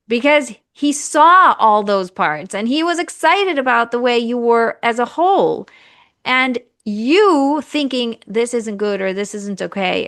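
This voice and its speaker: A woman's voice: on the high side, a bit bright, dry recording, studio quality. A woman podcast host and marriage coach, speaking earnestly and encouragingly over a video call. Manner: earnest, encouraging, repetitive exhortations. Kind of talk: reflective coaching talk.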